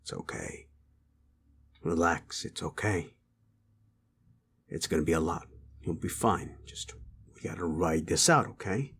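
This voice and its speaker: A man acting a gruff, cocky but protective werewolf in an intimate audio roleplay, with a gravelly, breathy murmur and blunt, coarse, halting speech. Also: deep voice, fairly bright tone, slight background noise, heavily acted. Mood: soothing, protective.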